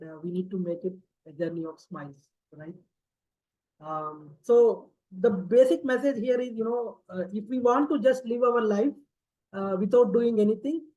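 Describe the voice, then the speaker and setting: A man hosts an online session, talking haltingly with many fillers and tag questions. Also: sits high, dark tone. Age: 50s. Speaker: session host. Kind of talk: online talk to a community group. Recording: dull lo-fi audio.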